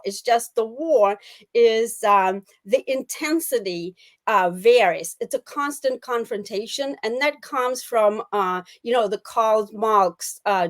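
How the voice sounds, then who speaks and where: A middle-aged woman with a Russian accent, explaining as an expert interview guest in a deliberate, emphatic way with pauses mid-phrase. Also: a little high. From Soviet Union. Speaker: guest analyst, former intelligence officer.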